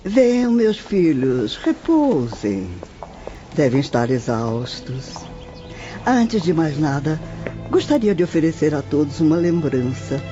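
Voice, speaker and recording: A woman's voice: sits low, sing-song, slow, dark tone, exaggerated voice. A woman playing a serene, motherly elderly nun spirit who welcomes visitors in an audio drama. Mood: tender. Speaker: guide at a spirit shelter. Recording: muffled lo-fi audio with music.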